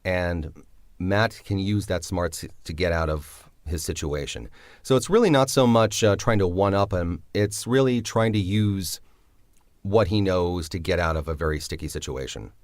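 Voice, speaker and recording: Deep-voiced man, reflective and hesitant. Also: moderate pace, no room sound. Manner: reflective, hesitant, many fillers. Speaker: writer of the drama. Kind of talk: interview answers.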